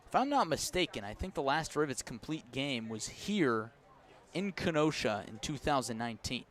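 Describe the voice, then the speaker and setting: An American man doing live radio baseball play-by-play from a ballpark, slipping between pitch calls and conversational asides. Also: very animated, moderate pace, a bit bright. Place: outdoors at a ballpark.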